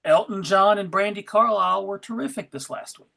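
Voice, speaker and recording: A woman's voice: mid-range voice, fairly quick, creaky, bright, forceful tone. A woman panelist at a fan convention, chatting casually in a wry, joking way. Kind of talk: casual chat.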